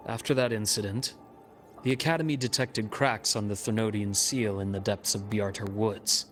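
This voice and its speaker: An actor playing a calm, steadfast male companion in a video game, speaking in a measured, earnest and reassuring way. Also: fairly low pitch, natural voice. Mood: grave. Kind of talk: video game cutscene voice acting. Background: background music.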